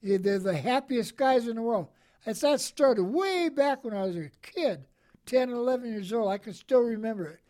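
A man in his eighties, a veteran being interviewed, who talks in a cheerful, soft-spoken, gentle way and chuckles. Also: very high-pitched, lively intonation, normal speed. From American Midwest. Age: 80s. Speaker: Korean War veteran guest. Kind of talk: interview answers.

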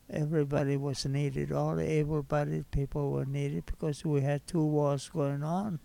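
A man's voice: on the high side, vocal fry, mellow, poor audio. A man in his nineties from Hawaii, an Army veteran reminiscing in an unscripted interview. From Hawaii, United States. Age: 90s. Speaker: war veteran, interview guest.

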